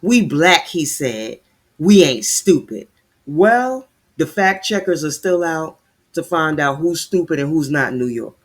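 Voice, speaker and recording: A man with a fairly high voice delivers ranting commentary on a news story. He reads passages aloud, trips over words, then cuts in with sarcastic, heated remarks. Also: lively intonation, unhurried.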